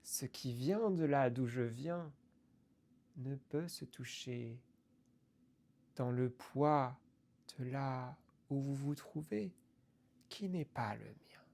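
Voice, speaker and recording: Slow, gravelly woman reciting poetry. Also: a bass voice, darkish tone. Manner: deliberate, halting, broken into short phrases.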